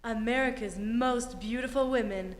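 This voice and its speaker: Actress as refined lady pitching cosmetics, vintage radio commercial, highish voice, echoing hall. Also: normal speed, slight background noise, lightly acted. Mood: persuasive, genteel. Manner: polished, persuasive. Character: genteel lady beauty expert selling face powder. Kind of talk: 1940s radio advertisement. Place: theatre stage. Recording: echoey hall, live stage recording.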